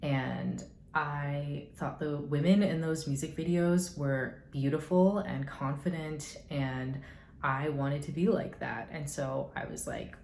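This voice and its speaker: A woman's voice: low voice, fairly even intonation, takes it slowly, darkish tone. A young woman rambling through get-to-know-me questions in a casual vlog, full of hedges and dry, sarcastic asides. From United States. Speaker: YouTuber. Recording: echoey room, faint noise.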